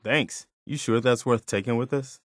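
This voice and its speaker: An actor playing an earnest, loyal American militia companion in a video game, speaking in an easygoing, plainspoken way. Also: male voice, mid-range voice, normal speed, dry recording, clean studio sound, natural voice. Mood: friendly. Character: earnest, principled militia companion, adult man, loyal and caring.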